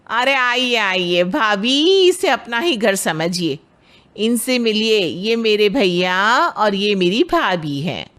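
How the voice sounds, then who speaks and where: A woman's voice: mid-range voice, big pitch swings, slow pace, fairly bright tone. A woman voicing a pushy, cheerful matchmaking aunt in a dramatized moral story. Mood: welcoming.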